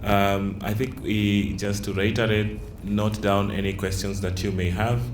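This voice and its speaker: A man moderating a conference session, introducing the next speaker in a slow, hesitant way. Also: lowish voice, little pitch movement, soft background music.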